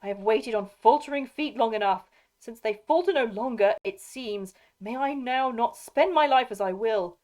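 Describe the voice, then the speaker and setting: A woman's voice: medium pitch, moderate pace, natural voice. A woman reader plays a young shield maiden of noble birth in a fantasy storybook. She is proud and fervent, with a bitter edge, and she speaks in formal, archaic phrases. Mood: fervent, defiant. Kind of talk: dramatic audiobook character reading.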